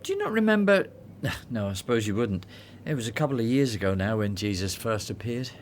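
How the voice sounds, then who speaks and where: A man's voice: mid-range voice, very animated, fairly quick, slight background noise, close to an everyday voice. A man acting in a radio drama as a cynical, worldly disciple who jokes sardonically and grumbles. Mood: conversational, knowing.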